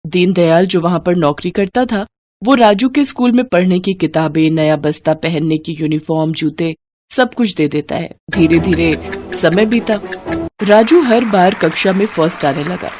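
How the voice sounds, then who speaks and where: A woman's voice: on the low side, moderate pace, a little mellow, soft background music. A woman reading a scripted children's devotional story as its storyteller.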